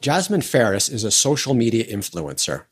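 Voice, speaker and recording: A man co-hosting a finance show, talking in a measured, thoughtful way and narrating the segment. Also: medium pitch, wildly swooping pitch, slightly rough, no echo, clean studio sound, faint music behind. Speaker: podcast co-host.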